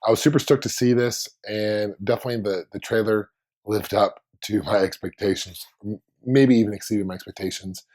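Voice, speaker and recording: A man hosts his own fan video while under the weather, his voice hoarse and husky and pitched very low. He rambles casually through his thoughts, often restarting sentences mid-way. The delivery is loose and conversational. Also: steady tone, fairly quick, a little mellow. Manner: rambling, thinking aloud with many restarts.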